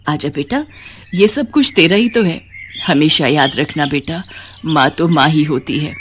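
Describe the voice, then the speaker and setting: A woman's voice: low voice, a little slow, slight background noise, voice pushed down. A woman voicing a kind, forgiving elderly village mother in a moral story, tender and pleading. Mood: tender, consoling. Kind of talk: animated moral story dialogue.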